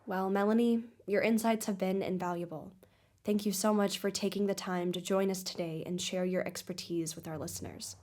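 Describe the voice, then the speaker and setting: A woman playing a composed investigative podcast host, with measured, formal delivery in a scripted audio drama documentary. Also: medium pitch, fairly even intonation, a little mellow, lightly acted. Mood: inquisitive, courteous.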